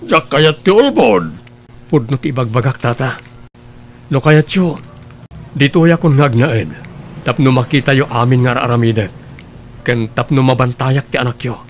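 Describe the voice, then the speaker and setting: A man's voice: medium pitch, normal speed, long pauses, a little hiss or hum, natural voice. A man playing an earnest, pleading young suitor in a radio drama, a reformed womanizer insisting he is sincere.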